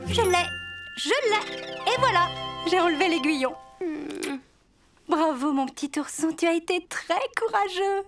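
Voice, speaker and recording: A woman voicing a gentle mother bear in a preschool cartoon, soothing and encouraging. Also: extremely high pitch, animated, unhurried, over loud music, exaggerated voice. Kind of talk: French preschool cartoon acting.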